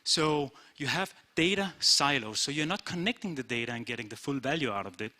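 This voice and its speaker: Danish-accented male CEO, middle-aged, crisp bright voice, plain conference delivery. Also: mid-range voice, a little fast. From Denmark. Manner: plain-spoken, steady, with frequent "uh" and "basically". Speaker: company CEO giving an awards talk. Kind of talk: short conference speech. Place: event room.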